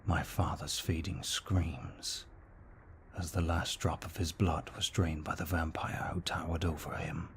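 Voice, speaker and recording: A man playing a gentle, remorseful vampire in an ASMR roleplay, speaking slowly and formally in a soft, breathy near-whisper. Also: a bass voice, flat delivery, a little hiss or hum. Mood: haunted, sorrowful. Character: brooding remorseful male vampire, gentle and protective. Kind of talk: ASMR roleplay, scripted monologue.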